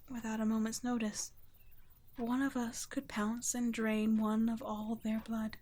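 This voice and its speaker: A woman voicing a gentle, guilt-ridden vampire guardian in an ASMR roleplay, speaking in a soft, breathy half-whisper with a hushed, halting, tender delivery. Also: a little high, level tone. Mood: grave, regretful. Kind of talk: ASMR roleplay, scripted intimate monologue.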